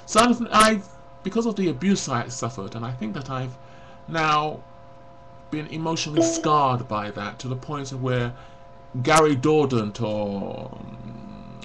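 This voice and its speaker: A forty-year-old man speaks bluntly and reflectively into a webcam, his voice gravelly with vocal fry. He rambles in long confessional runs. A faint hum sits under the recording. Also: mid-range voice, fairly bright tone, low-quality recording. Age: 40s. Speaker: vlogger. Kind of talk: personal confessional vlog to camera.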